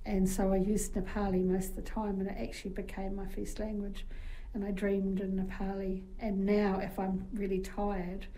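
A woman's voice: mid-range voice, completely flat delivery, moderate pace, dark tone, slight background noise, low-quality recording. A woman with a New Zealand accent, a guest in an interview, telling chatty anecdotes with frequent fillers. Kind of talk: casual interview storytelling.

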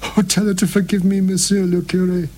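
A man's voice: high voice, flat delivery, fairly quick, darkish tone, pitched above the performer's own voice. A man reading aloud as a frightened, dying elderly woman who confesses in broken, pleading phrases. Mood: fearful pleading.

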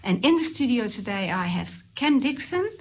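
A woman's voice: medium pitch, very animated, normal speed. A woman from the Netherlands with a Dutch accent, speaking as a radio host presenting and interviewing guests in a studio.

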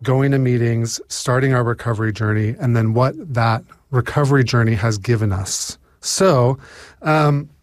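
A man's voice: mid-range voice, normal speed, no echo, clean studio sound. A man in his forties with an American accent, telling his recovery story in a casual, hesitant way with many fillers and restarts. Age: 40s. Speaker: speaker sharing recovery story. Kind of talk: casual personal share.

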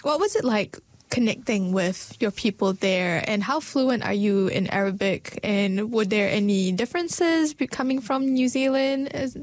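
A woman's voice: medium pitch, unhurried, hardly any pauses, no room sound. Curious woman interviewer, dry close sound. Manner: curious, asks short friendly questions. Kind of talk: casual interview.

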